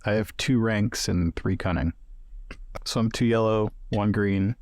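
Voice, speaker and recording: A man's voice: on the low side, measured pace, a little mellow, dry recording, studio quality. A man chatting casually as a player in a tabletop role-playing game.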